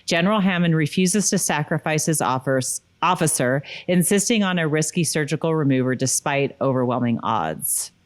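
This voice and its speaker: A woman's voice: deep voice, animated, moderate pace, few pauses. A woman co-hosting a podcast, chatting casually and sometimes reading out recaps. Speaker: podcast co-host. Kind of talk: casual chat with read episode recaps.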